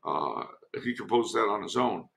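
A man's voice: on the low side, lively intonation, normal speed, vocal fry, a bit bright. A man giving casual historical commentary as a podcast guest, digressive and given to wry asides.

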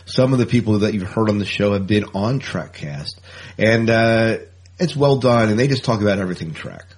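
A man chats casually as a podcast co-host. His voice is on the low side, with a noticeably husky grain. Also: animated, moderate pace. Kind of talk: casual chat.

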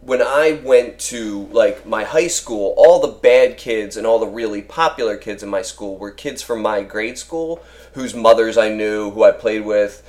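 Deep-voiced man chatting loosely. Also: a little slow, a little mellow. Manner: rambling, swears freely, many fillers. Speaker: guest. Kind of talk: casual podcast chat.

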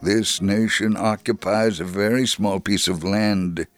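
A man's voice: on the low side, steady tone, unhurried. A man delivering a scripted dramatic reading for radio. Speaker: dramatic reader. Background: faint music.

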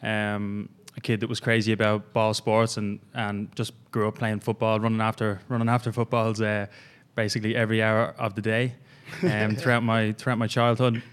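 A young man with an Irish accent, a gym coach, telling his story in a halting, rambling way with many fillers in a casual interview. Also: fairly low pitch, little pitch movement, clean tone. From Ireland.

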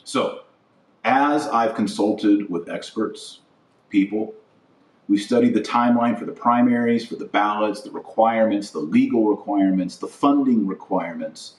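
Male podcast host, low register, emphatic and deliberate speechmaking, echoing room. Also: darkish tone. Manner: emphatic, deliberate, repeats phrases for weight. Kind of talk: political-religious monologue to an audience. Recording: roomy echo, distant microphone.

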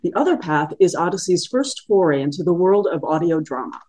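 A woman's voice: lowish voice, expressive, brisk, dark tone. A woman podcast interviewer introducing and questioning a guest in a conversational way.

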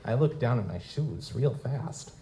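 A man's voice: medium pitch, expressive, measured pace, warm tone, lightly acted. A man playing a wry, wisecracking goblin private eye in a hard-boiled noir audio drama. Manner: wry, feigns hesitant politeness. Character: wisecracking male lutin goblin private investigator, narrating noir-style. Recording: noisy live stage recording.

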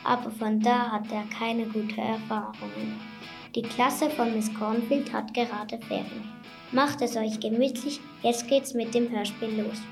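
A woman's voice: fairly high pitch, flat delivery, a little slow, gravelly. A young female narrator reading a scripted children's audio play aloud. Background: music.

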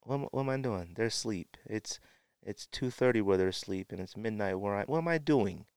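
A man with a fairly low voice, recorded close and dry, hosts a podcast solo. He talks casually and with strong opinions, leaning hard on key phrases and repeating them to drive the point home. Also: expressive, fairly quick, a little mellow, clean tone, no echo. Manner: emphatic, repeats phrases for effect. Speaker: podcast host.

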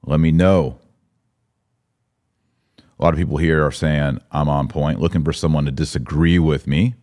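A man's voice: extremely low pitch, steady tone, normal speed, a little mellow. A man hosting a podcast, giving opinionated live commentary in an emphatic way, with rhetorical questions and asides to his listeners. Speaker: podcast host.

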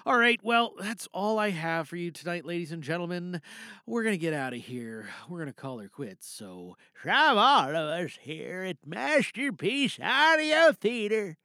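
A man's voice: sits high, bright, forceful tone, no room sound, clean studio sound. A man hosting an audio theater podcast, addressing listeners in a sign-off style. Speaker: podcast host and performer. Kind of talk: podcast sign-off, casual then scripted credits.

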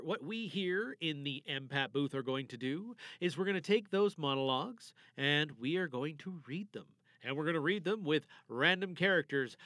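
A man's voice: on the high side, bright, forceful tone, dry recording. A man hosting a podcast, making a casual, informal announcement in an enthusiastic, emphatic manner. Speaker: podcast host.

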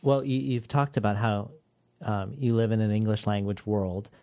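A man hosting a literary interview program, asking measured, curious questions with occasional fillers. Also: fairly low pitch, steady tone, mellow.

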